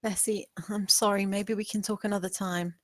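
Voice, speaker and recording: A woman's voice: mid-range voice, flat delivery, moderate pace, no room sound, studio quality, natural voice. A woman playing a defiant female servant who questions earnestly and pointedly in a gothic drama table read. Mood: subdued.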